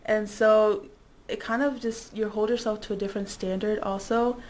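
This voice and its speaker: A woman's voice: mid-range voice, flat delivery, normal speed, a little mellow. A woman in her twenties, born in the Dominican Republic and raised in Indiana, talking casually about her internship as an interview guest. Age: 20s.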